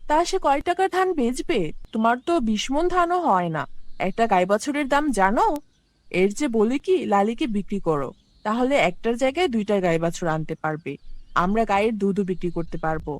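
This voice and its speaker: A woman's voice: medium pitch, animated, normal speed, no echo, natural voice. A woman voicing a nagging, practical farmer's wife, scolding and mocking, in a children's cartoon.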